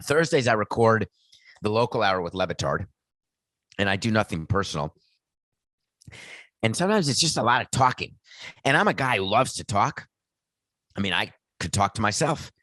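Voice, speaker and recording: A raspy man hosts a sports podcast on his own, talking nonstop with a sarcastic, mocking edge. His voice sits fairly low, and the sound is dry and close with no room around it. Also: animated, moderate pace, pauses often, a bit bright, no room sound. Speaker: podcast host. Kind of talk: solo sports commentary monologue. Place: alone in a home studio. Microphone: desk setup with a webcam.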